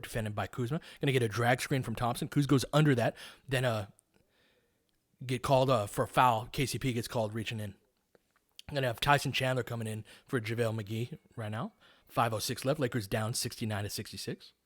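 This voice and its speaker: A man's voice: mid-range voice, a little fast. A man calling live basketball play-by-play in a casual, conversational, analytical way. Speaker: play-by-play host.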